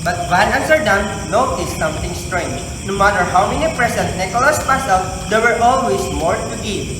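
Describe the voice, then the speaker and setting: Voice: medium pitch, lively intonation, moderate pace. A narrator reading a story aloud in readers theater style. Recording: very echoey hall, distant mic, background noise.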